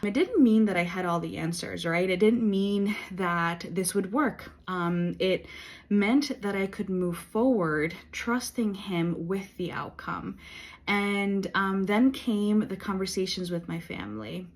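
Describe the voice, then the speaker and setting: A woman in her late thirties talking in a personal faith vlog, earnest and reflective, with many pauses and fillers. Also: mid-range voice. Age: 30s. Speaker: vlogger.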